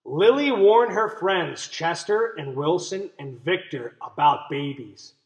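A young man reads a picture book to children in a high-pitched, very animated voice. He explains as he goes and puts questions to his listeners. The room sounds a little echoey. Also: slow pace, lo-fi sound. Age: young adult. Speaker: teacher reading aloud. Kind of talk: children's picture book read-aloud with commentary. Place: small indoor room.

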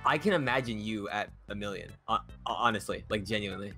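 A man's voice: fairly low pitch, fairly quick, pushed and bright, soft background music. A man joining a livestream as a guest, trading jokes and teasing banter with the host. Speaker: co-host on call. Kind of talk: casual livestream chat.

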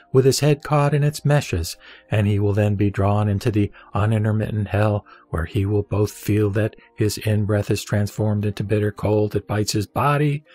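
A man reciting a religious text as solemn, dramatic scripted narration, with measured, deliberate and emphatic phrasing. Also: on the low side, darkish tone. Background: background music.

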